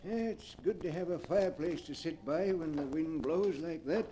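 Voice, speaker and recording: An actor playing a sly old scheming professor with a husky voice, in 1940s radio drama style. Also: male voice, a little high, normal speed, dark tone, a little hiss or hum, lightly acted. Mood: distracted, evasive. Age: older.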